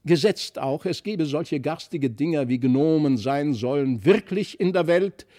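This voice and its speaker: A man voicing a stern, middle-aged noble father in a storybook reading, measured and scolding. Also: a little high, lively intonation, mellow. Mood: coaxing. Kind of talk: audiobook character voice.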